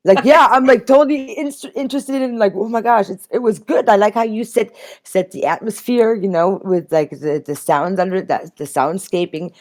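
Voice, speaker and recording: Gushing, mid-pitched woman co-host. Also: moderate pace. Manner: gushing, loose, filler-heavy. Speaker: radio show co-host. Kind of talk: casual chat.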